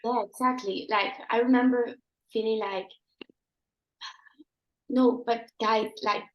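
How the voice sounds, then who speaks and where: A woman's voice: on the high side, steady tone, takes it slowly, roomy sound, highs cut off. A teenage woman co-hosting a podcast, chatting casually with frequent "like" and "um", and reading a sonnet aloud. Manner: uses "like" and "um" often. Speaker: podcast co-host. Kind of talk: casual chat and poetry reading.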